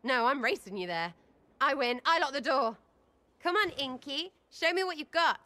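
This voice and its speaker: A woman's voice: high voice, lively intonation, normal speed, pushed and bright. Cheeky young rogue girl from a fantasy game, slangy and impulsive, voice jumps all over. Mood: excited, playful. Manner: slangy, irreverent, blurted fragments. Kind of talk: video game voice acting.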